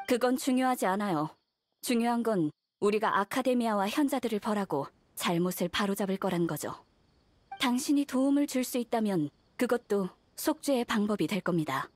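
A woman's voice: medium pitch, lively intonation, a little fast, close to an everyday voice. A woman playing a polite, composed and ominously persuasive believer in a game drama.

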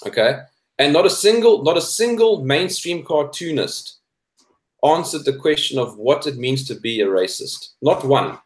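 A man's voice: on the high side, expressive, normal speed. A South African man in his thirties giving opinions in a debate chat, emphatic and repeating phrases for stress. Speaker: guest, cartoonist. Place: indoor room, video call. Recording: echoey room over video call.

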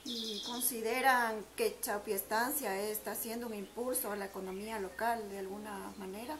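A woman's voice: mid-range voice, fairly even intonation, moderate pace. A young adult woman, politely conducting a student interview. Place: covered brick patio at a winery. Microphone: camera a few metres away. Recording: echoey, distant camera mic, loud ambient noise.

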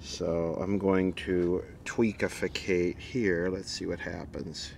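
A man's voice: deep voice, flat delivery, slow pace, creaky, a little mellow, slight background noise. A man giving a casual, unscripted hands-on demonstration as a video host, dry and wry in manner. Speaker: video host and radio repair hobbyist.

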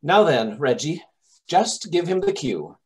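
A man playing a brisk, impatient detective who directs a rehearsal in an old radio drama style. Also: a little high, big pitch swings, slight background noise. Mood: exasperated. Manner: commanding, exasperated. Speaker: actor. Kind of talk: 1930s radio acting.

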